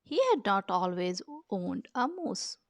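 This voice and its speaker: A woman reading a children's picture book aloud with measured, expressive storytelling delivery. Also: medium pitch, gravelly, dry recording. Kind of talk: storybook read-aloud narration.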